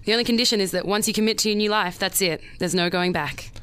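A woman's voice: mid-range voice, fast, fairly bright tone, clean tone, lightly acted. A woman playing a confident, persuasive schoolteacher in a noir radio play, with a casual, coaxing manner.

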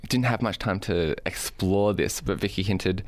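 A man's voice: sits low, moderate pace, no echo. A man with an Australian accent co-hosts a podcast in casual banter, with dry, tongue-in-cheek humour. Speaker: podcast co-host.

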